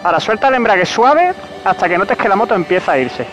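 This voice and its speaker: A man's voice: high-pitched, sing-song, quick pace, a bit bright, faint music behind. A man reviewing a motorcycle while riding it through city streets, talking casually and joking at his own expense, recorded on a helmet camera mic. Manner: chatty, joking, laughs at himself. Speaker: motovlogger.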